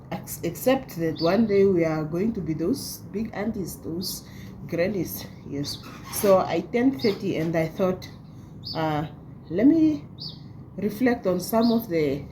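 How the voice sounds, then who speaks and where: Halting thirty-year-old woman vlogging. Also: on the low side, warm tone, a little hiss or hum, low-quality recording. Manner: halting, with many restarts and laughs. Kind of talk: casual talk to camera.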